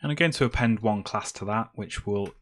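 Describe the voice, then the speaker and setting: A man's voice: fairly low pitch, a little fast. A man presenting a screencast tutorial, explaining step by step in a semi-improvised way as he works. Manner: step-by-step, explaining while typing.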